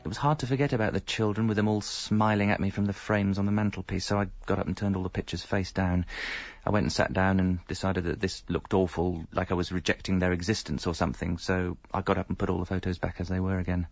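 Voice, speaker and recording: Casting: wry, hapless separated father, radio comedy; lowish pitch, slightly muffled recording with music. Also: level tone, quick pace, vocal fry, no echo. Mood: wry, downcast. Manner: rueful, wry, muttering to himself. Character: hapless, self-pitying separated dad and jingle composer. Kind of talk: radio comedy monologue and dialogue.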